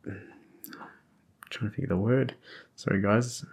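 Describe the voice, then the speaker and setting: A man's voice: on the low side, measured pace, gravelly, darkish tone, slightly rough. An Australian man hosting a podcast, chatting casually and rambling, often restarting and searching for words. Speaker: podcast host. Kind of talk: casual chat.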